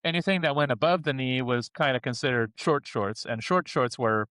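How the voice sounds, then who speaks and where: A man's voice: medium pitch, normal speed, fairly bright tone, clear, resonant tone, dry recording. A man co-hosting a casual podcast discussion, rambling with lots of fillers and frequent chuckles. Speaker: podcast co-host.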